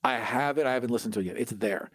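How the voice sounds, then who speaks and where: A man's voice: mid-range voice, racing, dry recording. Casual man podcaster, dry close sound. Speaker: podcast host. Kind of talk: casual chat about tabletop gaming.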